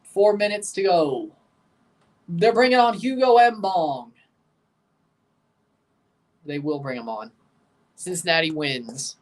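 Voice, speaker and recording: A young man with a low voice hosting a livestream, giving blunt, opinionated hot takes while reacting to a soccer match and answering chat questions. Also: slow, long pauses, far from the mic. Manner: excitable, jumps high in pitch when agitated.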